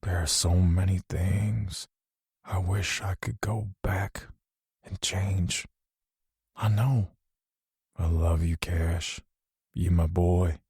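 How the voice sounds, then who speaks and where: A frail, dying father, voiced by a man, speaks in a hushed, unhurried murmur. His voice sits low and quiet, and the dry, close recording keeps every tired breath near. The tone is tender and loving throughout. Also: fairly even intonation, slightly rough, no echo, full character voice. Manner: soft, weak, slow speech. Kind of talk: audiobook character voice.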